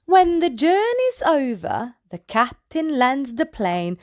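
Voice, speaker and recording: A woman with a high voice reads a children's storybook aloud. Her delivery is slow and lively, swinging widely in pitch, as she speaks playfully to small listeners. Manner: addresses small children, playful sound effects. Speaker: children's read-aloud host.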